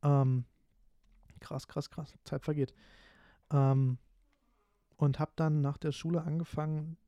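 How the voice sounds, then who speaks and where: A man's voice: fairly high pitch, flat delivery, takes it slowly, warm tone, no echo. A man in his twenties from Berlin hosting a solo podcast, talking casually and rambling with lots of fillers and restarts. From Berlin, Germany. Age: 20s. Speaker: podcast host.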